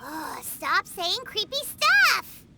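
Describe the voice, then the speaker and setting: A woman voicing a small, childlike, easily indignant fairy companion in a video game, with a squeaky voice. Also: wildly swooping pitch, slow pace, gravelly, very noisy, full character voice. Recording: loud music behind the voice. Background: game music.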